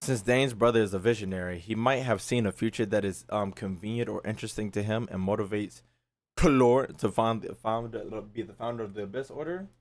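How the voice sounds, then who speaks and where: A man streaming casually to his chat, profane and slangy, thinking out loud as he talks. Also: lowish voice, brisk. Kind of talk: casual live commentary to chat.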